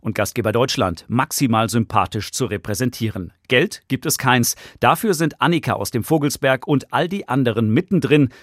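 Brief: male reporter, scripted feature voice-over, mid-pitched, dry close booth sound. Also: normal speed, hardly any pauses, a bit bright, no room sound. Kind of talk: scripted news feature narration.

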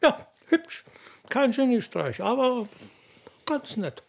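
An actor playing a vain, sulky castrato opera star in a radio play, with a haughty, complaining, hesitant manner. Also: male voice, very high voice, sing-song, slow, vocal fry, exaggerated voice. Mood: haughty, disdainful. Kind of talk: radio drama dialogue.

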